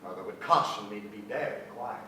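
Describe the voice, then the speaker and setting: A man's voice: fairly low pitch, natural voice. Man as a reflective poet narrator, very echoey. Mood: reflective, wry. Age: middle-aged. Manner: measured, wry, reflective. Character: reflective young poet son narrating family memories. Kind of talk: literary narration in staged reading. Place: large theatre stage, music stand, indoors. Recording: very echoey theatre, distant, noisy ambience.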